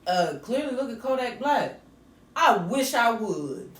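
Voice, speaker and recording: A woman with a slightly raspy voice, co-hosting a podcast with blunt, animated banter. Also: fairly high pitch, measured pace. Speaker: podcast co-host. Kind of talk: casual chat. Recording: distant mic in an echoey room with faint noise.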